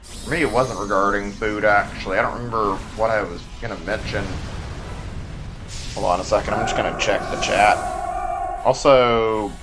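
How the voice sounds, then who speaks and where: A man's voice: lowish voice, steady tone, moderate pace, long pauses, over music. Rambling Texan guy streaming a game. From Texas. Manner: rambling, filler-heavy, answers chat as he plays. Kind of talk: casual live-stream chat while playing.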